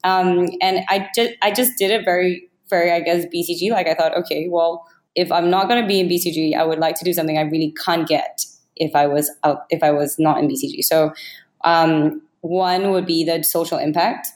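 A woman's voice: fairly low pitch, steady tone, a little fast, a little mellow. A woman in her thirties from Malaysia answering casually as an interview guest, informal and hesitant. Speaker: startup founder, podcast guest. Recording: echoey, roomy sound.

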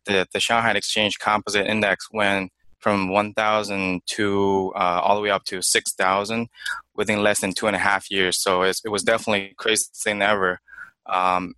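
Man from China, young adult, low voice, bright clear tone, dry sound, hesitant answers. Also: level tone, no room sound, poor audio. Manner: halting, many fillers and restarts. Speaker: investment manager guest. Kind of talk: interview answers.

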